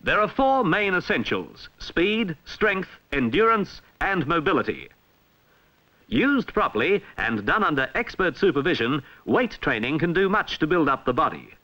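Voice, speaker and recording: Bright, lively Australian male film narrator. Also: on the high side, rough recording. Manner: formal, measured, emphatic delivery. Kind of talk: 1960s instructional film narration, scripted. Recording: vintage 1960s film soundtrack.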